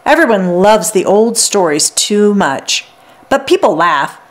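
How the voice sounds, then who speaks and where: A woman reader plays a kindly village healer woman in a children's storybook. She is hearty and plain-spoken, with brisk lines that swing in pitch. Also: big pitch swings. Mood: matter-of-fact.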